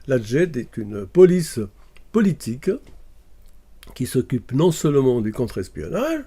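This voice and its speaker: A man's voice: mid-range voice, vocal fry, mellow, breathy edge. A man from Morocco, talking casually to camera and hesitating often with fillers and restarts. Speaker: video commentator.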